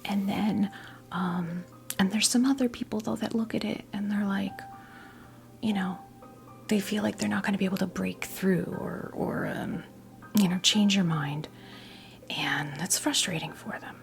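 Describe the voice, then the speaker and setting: A woman in her fifties gives an ASMR tarot reading in a breathy whisper, halting often as she reassures the listener. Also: medium pitch. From New Jersey, United States. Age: 50s. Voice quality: breathy whisper mixed with soft creaky voice. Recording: music playing behind the voice. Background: music.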